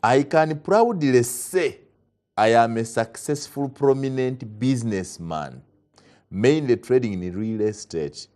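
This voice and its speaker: A man's voice: mid-range voice, expressive, slow pace, a little mellow. A man with a Ugandan accent hosting a casual talk show, who reads passages aloud and presses his guest with questions.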